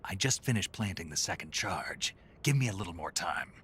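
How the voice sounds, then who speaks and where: An actor playing a gruff, stoic special agent in a video game, terse and gravelly. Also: male voice, expressive, fairly quick, a bit bright, slight background noise. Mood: terse, focused. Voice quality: very gravelly, husky. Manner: terse, low-key military delivery. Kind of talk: video game cutscene acting.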